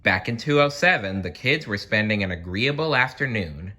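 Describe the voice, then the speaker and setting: A storybook reader narrating for children in an expressive, deliberate way with dramatic pauses. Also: medium pitch.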